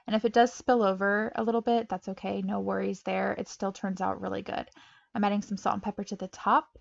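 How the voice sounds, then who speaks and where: A woman's voice: mid-range voice, creaky, no echo, highs cut off. A young woman narrating her home meal prep in a bubbly, chatty way, often laughing between steps. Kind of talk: casual voice-over cooking narration. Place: home kitchen.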